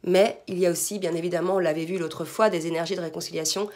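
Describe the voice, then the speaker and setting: A hoarse woman giving a spiritual card reading to her viewers, soft-spoken, sometimes murmuring requests to her guides. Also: on the low side, steady tone, quick pace. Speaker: tarot card reader.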